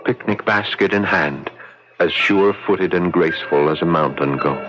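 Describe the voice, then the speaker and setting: A man's voice: low voice, normal speed, natural voice. An actor playing an earnest, lovestruck young man who tells his own story in a radio drama. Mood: dramatic narration. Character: lovestruck earnest young nephew, a visitor narrating his tale. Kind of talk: radio drama acting with first-person narration. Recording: dull lo-fi radio drama, music behind.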